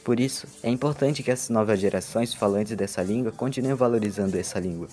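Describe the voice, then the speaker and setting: A man's voice: fairly low pitch, brisk, dark tone, soft background music. A young man from Amazonas, Brazil, whose first language is Matsés, earnestly reading a personal statement as a university student.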